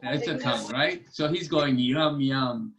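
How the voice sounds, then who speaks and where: A man's voice: medium pitch, a little slow, fairly bright tone, dull audio. A man hosting a children's storytime and trivia over a video call, explaining step by step in a patient, encouraging way. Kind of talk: casual teaching to children.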